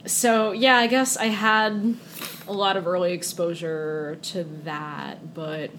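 A woman's voice: lowish voice, fairly even intonation, slow pace, fairly bright tone. Woman in her forties, connecticut accent, chatty and laughing, faint background hiss. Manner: laughs often, chatty, trailing asides. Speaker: guest. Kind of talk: casual interview chat.

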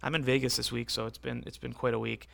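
A man hosting a casual solo podcast, rambling and correcting himself as he talks, with a voice that is going hoarse. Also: mid-range voice, little pitch movement, fast, a bit bright, no room sound, studio quality. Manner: rambling, self-correcting, conversational. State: losing his voice, hoarse. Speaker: podcast host.

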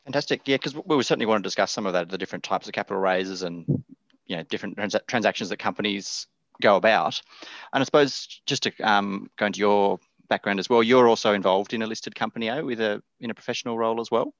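A man co-hosting a finance podcast, reading a disclaimer and questioning a guest in a brisk way. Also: on the low side, a bit bright, dry recording, highs cut off. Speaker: podcast co-host. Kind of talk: podcast interview.

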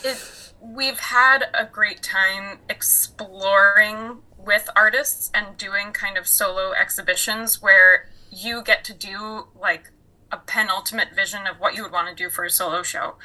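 A woman's voice: medium pitch, steady tone, moderate pace, bright, forceful tone, low-quality recording. A woman hosting a podcast in a casual conversational interview, speaking with enthusiasm. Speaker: podcast host.

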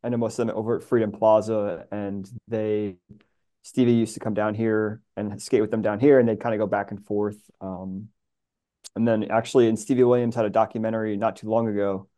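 Middle-aged American man, New Yorker, soft-edged dark tone, casual conversational delivery. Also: on the low side, little pitch movement, brisk. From New York, USA. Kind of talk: casual chat.

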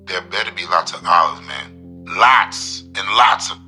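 Forceful, slangy slacker buddy. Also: male voice, sits low, lively intonation, unhurried, faint music behind, heavily acted. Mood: alarmed, nagging. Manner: slangy, peppered with man and dude. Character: excitable slacker buddy, casual, superstitious young man. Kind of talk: horror comedy radio play acting.

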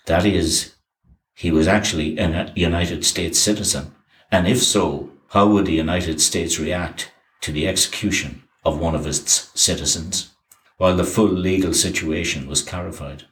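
Deep-voiced man narrating history in an echoey room. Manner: even, measured reading of dates and names. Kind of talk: scripted documentary narration.

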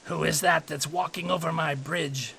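Man acting out a yelling, scary storybook troll. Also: on the high side, fairly even intonation, moderate pace, vocal fry, slight background noise. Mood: challenging. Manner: yelling, threatening. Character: large scary male troll, menacing and yelling.

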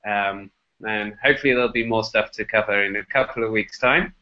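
A man's voice: on the low side, a little fast. A man co-hosting a casual tech podcast while recovering from an illness, speaking hesitantly with many fillers. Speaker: podcast co-host. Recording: dull lo-fi video call audio.

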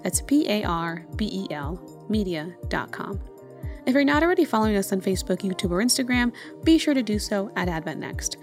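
A woman's voice: mid-range voice, animated, fairly quick, soft background music. A woman hosting a podcast, speaking in an encouraging, appreciative way to guest and listeners. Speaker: podcast host. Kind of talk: podcast interview and outro.

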